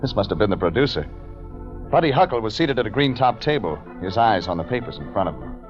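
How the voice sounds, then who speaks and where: An American man acting a cool, terse private investigator in deadpan, clipped 1940s radio detective style. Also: lowish voice, fast. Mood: deadpan narrating. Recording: dull vintage radio recording, music behind.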